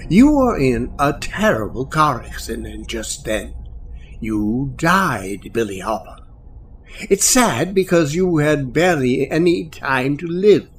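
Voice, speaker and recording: A man playing a calm, matter-of-fact otherworldly guide to the newly dead in an audio drama, deliberate and detached. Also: medium pitch. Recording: faint background noise.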